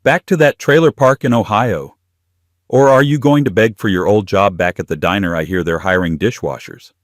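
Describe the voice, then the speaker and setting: A man's voice: mid-range voice, very animated, unhurried, slightly rough, no room sound, clean studio sound, voice pushed up. A man voicing a spiteful, scornful older woman, a sneering mother, in audiobook dialogue. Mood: mocking. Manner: scornful, muttering and scoffing.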